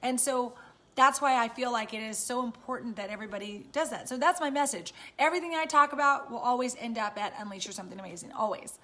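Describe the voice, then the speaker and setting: Raspy woman, slightly high voice. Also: fast talker, bright, forceful tone. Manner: chatty and encouraging, many fillers. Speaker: live-stream host and business coach. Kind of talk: casual live stream talk to viewers. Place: at home.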